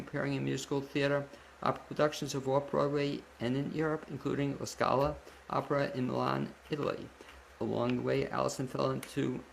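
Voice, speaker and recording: A man hosting a radio interview show, speaking conversationally and a little haltingly between questions and announcements. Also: mid-range voice, vocal fry, a little hiss or hum, low-quality recording.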